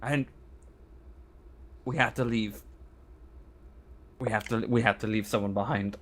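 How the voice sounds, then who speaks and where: A man voicing a haunted, fanatical crewman in a tabletop game, halting and stammering as he repeats himself. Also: on the low side, steady tone, a bit bright, voice pushed down. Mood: haunted, shaken. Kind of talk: tabletop roleplay monologue.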